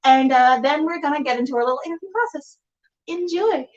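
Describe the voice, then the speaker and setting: A woman hosting a show in a casual, exclamatory, excitable way. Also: high-pitched, fairly quick, muffled recording. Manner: exclamatory, informal. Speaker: show host.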